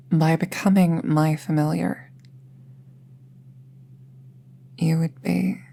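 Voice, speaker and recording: A woman playing an earnest apprentice witch in an intimate roleplay audio drama, speaking in a hesitant, gently reassuring way. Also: low voice, creaky. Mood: earnest, careful. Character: earnest, careful apprentice witch, close friend to listener.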